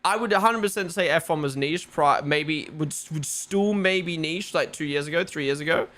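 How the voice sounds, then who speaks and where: A man's voice: a little high, moderate pace, fairly bright tone. A young man with a New Zealand accent, rambling casually as a live stream host, full of fillers and swearing. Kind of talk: casual live watch-along chat.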